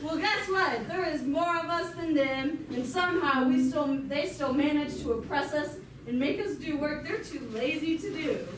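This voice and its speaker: A woman's voice: sits high, normal speed, hardly any pauses, close to an everyday voice. A woman playing an indignant, desperate peasant rebel in an amateur comic puppet show. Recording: very echoey, distant, noisy room recording.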